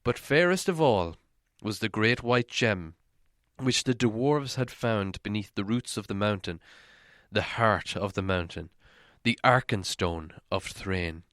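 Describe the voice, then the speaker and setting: A man reading an audiobook as a dramatic storyteller, expressive and theatrical. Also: fairly low pitch, unhurried, no room sound, clean studio sound. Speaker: audiobook reader.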